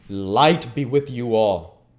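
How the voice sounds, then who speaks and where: A man reading a story aloud as channel host, in expressive narration with deliberate, measured phrasing. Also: medium pitch. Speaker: audiobook reader and channel host.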